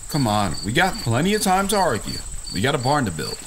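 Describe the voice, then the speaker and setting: An actor playing a bossy, hard-working, no-nonsense brother in an audio drama. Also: male voice, medium pitch, huge pitch swings, fairly quick, a bit bright, slight background noise, rough recording. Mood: brisk.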